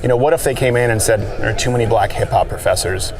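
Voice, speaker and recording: A Canadian man hosting a casual street debate, talking quickly and jokingly, interrupting often. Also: medium pitch. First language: French. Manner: talkative, quick, interrupts and jokes. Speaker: host debating a guest. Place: outdoors on a city street. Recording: outdoor crowd noise.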